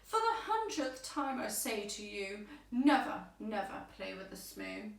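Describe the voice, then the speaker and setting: A cross old storybook alien grandfather, put on by a woman, loud and forbidding. He scolds in emphatic rhyme. The room echoes and the mic is at a distance. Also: medium pitch, big pitch swings, unhurried, vocal fry. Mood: angry. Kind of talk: children's storybook character voice. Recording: roomy echo, distant microphone.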